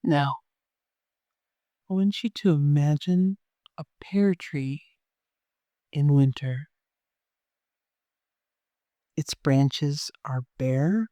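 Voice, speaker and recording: A meditation guide of unclear sex, speaking gently and softly in an unhurried way, with long pauses, leading a guided meditation. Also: medium pitch, no echo.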